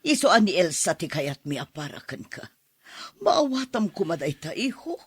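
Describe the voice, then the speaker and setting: A woman's voice: lowish voice, big pitch swings, normal speed, breathy edge, no echo, studio quality. A woman playing an anxious, placating middle-aged mother in an Ilocano radio drama, coaxing and worried.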